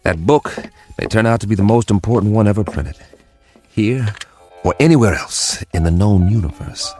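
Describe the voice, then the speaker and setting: An actor playing a weary but determined government codebreaker in a radio drama, with an emphatic, wry manner. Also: male voice, fairly low pitch, moderate pace, darkish tone, faint music behind, close to an everyday voice. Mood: urgent, determined.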